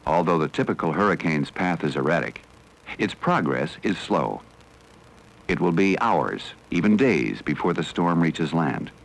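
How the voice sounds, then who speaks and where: An American man reading scripted educational film narration in a measured, formal, serious manner. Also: low-pitched, big pitch swings, gravelly, low-quality recording. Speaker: documentary narrator.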